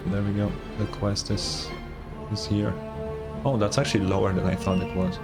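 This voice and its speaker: A man's voice: lowish voice, level tone, moderate pace, loud music behind the voice. A man streaming a game, giving casual, offhand commentary in short muttered reactions.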